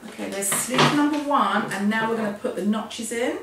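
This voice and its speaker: A woman with a hoarse voice, getting over a cold, teaching a hands-on sewing lesson in a chatty, self-deprecating, step-by-step way. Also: on the high side, moderate pace, distant mic. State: hoarse, losing her voice from a cold. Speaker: sewing instructor. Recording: echoey room, off-mic, faint noise.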